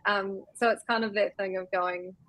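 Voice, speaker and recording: A woman's voice: medium pitch, fairly even intonation, normal speed, fairly bright tone, no room sound, poor audio. A woman co-founder from New Zealand answering interview questions in a reflective, casual way, with many fillers. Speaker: co-founder and co-CEO, guest.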